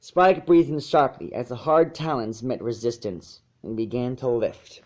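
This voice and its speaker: A man's voice: medium pitch, measured pace, darkish tone. A man reading a story aloud as narrator, sometimes stumbling over and repeating phrases. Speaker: narrator of fan fiction.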